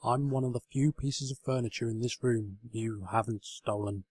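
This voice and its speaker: A man voicing a gentle, wise, mildly pedantic bedside table in a comic story. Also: mid-range voice, steady tone, moderate pace, breathy edge, natural voice. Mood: gentle, wry.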